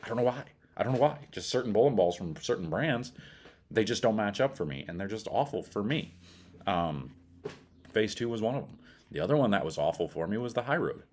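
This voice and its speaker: A man's voice: on the low side, expressive, brisk, a little mellow. A middle-aged man with an American accent gives a casual product review in a blunt, colloquial way. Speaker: bowling ball reviewer.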